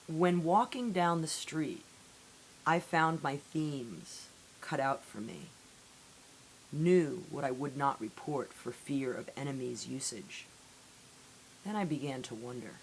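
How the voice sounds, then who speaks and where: A woman reading poetry aloud in a solemn, deliberate way with measured phrasing and dramatic pauses, on an amateur recording. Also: a bass voice, poor audio. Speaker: poetry reader. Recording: amateur recording with audible hiss.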